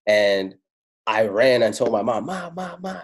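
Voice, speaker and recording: A man whose first language is Italian, telling stories in a casual talk on spirituality. He swears freely and slows down to stress his points. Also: fairly low pitch, sing-song. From Italy. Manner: casual and profane, slows down for emphasis. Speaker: spiritual speaker.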